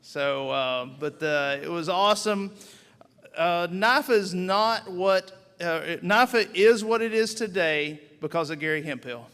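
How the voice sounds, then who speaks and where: A man's voice: high-pitched, unhurried, fairly bright tone, smooth. A man emceeing an awards banquet, speaking informally with many hesitations and fillers. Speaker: organization official and emcee. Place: large banquet hall. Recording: reverberant banquet hall.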